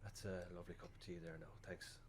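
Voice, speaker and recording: Irish actor as bumbling male undertaker, lower register, well-meaning, rambling and hesitant, radio drama. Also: little pitch movement, quick pace, heavy background noise, natural voice. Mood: solicitous, hesitant. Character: awkward, chatty, well-meaning male funeral director. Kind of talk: radio play acting.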